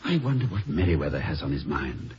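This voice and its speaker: An actor playing a genial, fretful college president in a 1950s radio comedy, hesitant and professorial in manner. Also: male voice, a bass voice, very animated, fairly quick, a little mellow. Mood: worried. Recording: dull, hissy vintage radio recording.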